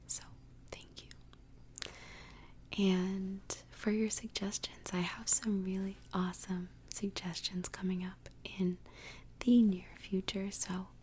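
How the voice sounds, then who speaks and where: A woman hosting an ASMR podcast in a soft, breathy whisper, speaking gently and without hurry into a close microphone. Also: mid-range voice, slight background noise. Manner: gentle, slow, unhurried delivery. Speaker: podcast host.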